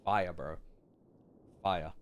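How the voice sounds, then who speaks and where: A man's voice: low voice, steady tone, drawn-out words. Casual, slangy male game streamer. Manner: slangy, informal, chatty. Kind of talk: casual live game reaction chat. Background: faint game music.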